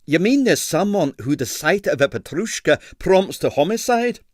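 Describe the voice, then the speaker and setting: A man's voice: high-pitched, very animated, moderate pace, no echo, clean studio sound, voice pushed up. A man voicing a sour, disdainful doctor who loathes bad ballet, emphatic and blandly scathing, for an audiobook. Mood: confiding. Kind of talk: audiobook character voice.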